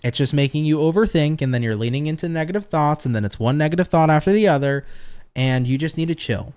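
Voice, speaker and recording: A man's voice: on the high side, quick pace, darkish tone, smooth. A tired man reading tarot on a casual livestream, chatty and profane, laughing often and answering viewer comments. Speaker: tarot reader hosting a livestream.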